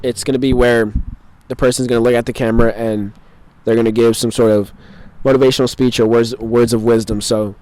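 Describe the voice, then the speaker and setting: A man's voice: mid-range voice, little pitch movement, fairly quick, few pauses, darkish tone, poor audio. A man hosting a podcast in casual chat, teasing his guest, laughing between lines and often trailing off mid-thought. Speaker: podcast host.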